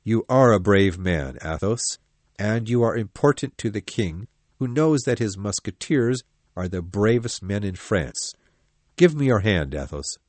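A man playing a stern, proud, hot-tempered older captain of musketeers in an audiobook, with a forceful, commanding manner. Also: fairly low pitch, animated, measured pace, no room sound. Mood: earnest. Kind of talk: audiobook dialogue acting.

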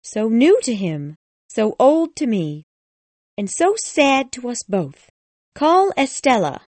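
Man doing an old bitter bride, high strained falsetto, slow, dry close sound. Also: sing-song, a little mellow, no echo, exaggerated voice. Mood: wistful. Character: eerie old jilted bride, proud and bitter. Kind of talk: audiobook reading.

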